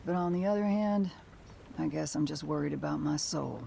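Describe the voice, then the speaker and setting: An actress playing a weary, guarded bounty hunter in a video game, dry and plain-spoken, with a gravelly voice. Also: female voice, deep voice, moderate pace, dark tone, slight background noise. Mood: weary, troubled. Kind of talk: naturalistic video game acting.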